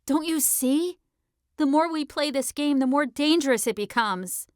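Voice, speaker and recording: A woman playing a sharp, argumentative sixteen-year-old girl in an audiobook, speaking in a slangy, emphatic, insistent way. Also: sits high, a little slow, no echo, clean studio sound. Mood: insistent, frustrated.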